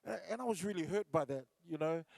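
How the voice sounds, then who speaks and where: High-voiced man telling standup stories. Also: animated, fast talker, gravelly, darkish tone, no room sound. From New Zealand. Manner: storytelling with acted-out voices, many fillers and restarts. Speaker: comedian and MC. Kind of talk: live standup comedy. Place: large concert hall stage.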